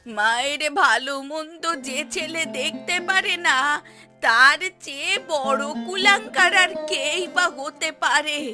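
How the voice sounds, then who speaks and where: A woman's voice: sits high, a little slow. A woman playing a demanding, self-pitying elderly mother who wails and scolds in a cartoon. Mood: scolding, demanding. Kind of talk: cartoon story dialogue. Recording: music playing behind the voice.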